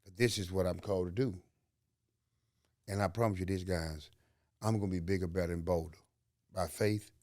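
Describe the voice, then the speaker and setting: A man's voice: sits low, steady tone, moderate pace, pauses often, a little mellow, no echo, studio quality. A man from Alabama with a Southern accent, hosting a podcast in a folksy, preachy way, full of slang and rhetorical questions. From Alabama, USA. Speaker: podcast host. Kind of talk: motivational podcast talk.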